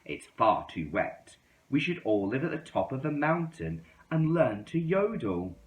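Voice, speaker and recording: A man's voice: medium pitch, sing-song, a little slow, reverberant, recorded from a distance, low-quality recording. A man voicing a fussy, emphatic female animal in a children's storybook. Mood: fussy, eager.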